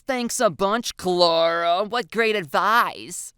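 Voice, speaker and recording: A woman's voice: sits high, wildly swooping pitch, unhurried, fairly bright tone, dry recording, studio quality, voice pushed up, full character voice. A woman voicing an anxious, sarcastic teenager in a girl's body, with a whiny, dramatic delivery in a story narration.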